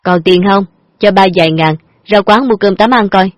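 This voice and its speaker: A woman's voice: medium pitch, normal speed, no echo, clean studio sound, natural voice. A woman voicing an old father who gambles, sounding wheedling and defensive. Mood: pleading.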